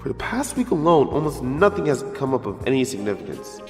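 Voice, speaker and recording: Audio drama teen boy, played by a man: sarcastic slacker, flustered, rambling excuses, very lively mid pitch, music behind. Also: fairly quick. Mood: musing. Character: fifteen-year-old boy, slacking high-school student and secret hero. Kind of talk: audio drama acting with inner monologue.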